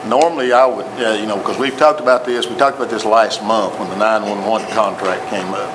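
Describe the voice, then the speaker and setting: A man's voice: lowish voice, steady tone, a little fast. A man with a Tennessee accent giving a plainspoken report to a city council, repeating himself as he explains. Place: council chamber with audience. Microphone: table microphone. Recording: noisy council chamber recording.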